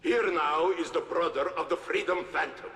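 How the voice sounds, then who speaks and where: A man playing an evasive, diplomatic Soviet official in a video game, giving measured press conference statements. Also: on the high side, pushed and bright. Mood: formal, controlled. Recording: reverberant press hall.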